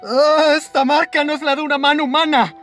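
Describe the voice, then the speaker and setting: Radio mystery part, male actor: high voice, Mexican accent, astonished and baffled, theatrical formal delivery. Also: fairly even intonation, a little fast, a bit bright, soft background music. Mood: horrified. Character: astonished, bewildered listener to a detective's deductions. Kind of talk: radio play acting.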